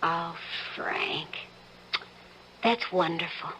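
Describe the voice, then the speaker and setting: A woman playing a judge's trusting, caring wife in old-time radio drama dialogue, conversational and gently expressive. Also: lowish voice, extremely slow, very noisy. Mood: surprised, admiring.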